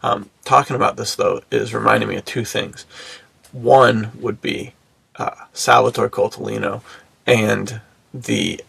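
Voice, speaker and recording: A man's voice: fairly low pitch, fairly even intonation, a little slow, vocal fry, fairly bright tone, slightly rough, no echo. A man co-hosting a podcast in casual chat, talking in laid-back bro slang. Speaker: podcast co-host.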